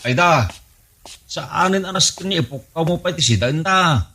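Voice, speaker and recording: A man's voice: fairly high pitch, lively intonation, moderate pace, pushed and bright. A man playing an embarrassed husband in an Ilocano radio drama, scolding his wife. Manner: scolding, flustered. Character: embarrassed husband Bogs, middle-aged.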